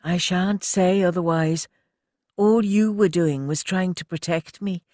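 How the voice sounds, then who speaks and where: A man's voice: sits high, unhurried, darkish tone, dry recording, clean studio sound, voice pushed up. A man voicing a troubled young woman who talks shakily but emphatically. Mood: resolute. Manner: emphatic, shaky.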